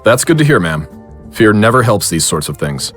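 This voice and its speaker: A man playing a calm, learned occult investigator in an audio drama, explaining things in measured, wordy sentences. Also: on the low side, faint music behind. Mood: calm, explanatory.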